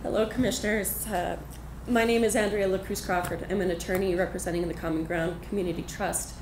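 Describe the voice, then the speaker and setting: Woman attorney, lowish voice, off-mic in a noisy echoey chamber. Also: steady tone, brisk. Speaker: attorney giving public comment. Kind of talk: public comment at a county meeting. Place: county commission meeting room. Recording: distant, echoey, noisy room.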